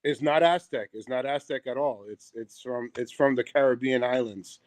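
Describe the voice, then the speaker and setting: A man's voice: mid-range voice, normal speed, darkish tone, no echo. Earnest middle-aged New York man. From Puerto Rico. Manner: earnest, repetitive, many ums and restarts. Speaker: theater owner and chef. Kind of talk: casual interview chat. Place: theater seat on a live call.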